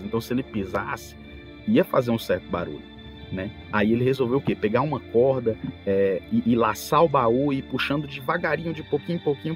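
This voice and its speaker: A man with a Brazilian accent talks casually as a podcast host, telling gaming anecdotes in an animated, storytelling way. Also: mid-range voice. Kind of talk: casual anecdotal talk about tabletop role-playing. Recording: music bed behind the voice. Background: background music.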